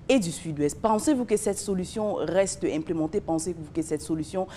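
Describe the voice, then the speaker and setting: A woman interviewer putting formal, measured questions on a broadcast program. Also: lowish voice, warm tone, a little hiss or hum.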